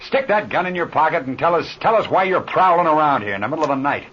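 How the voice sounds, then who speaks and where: An actor playing a brisk, authoritative aviator boss in an old-time radio adventure serial. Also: male voice, on the high side, expressive, close to an everyday voice. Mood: exasperated, dismissive. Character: calm, brisk, commanding male aviator boss. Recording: dull, noisy old radio serial recording.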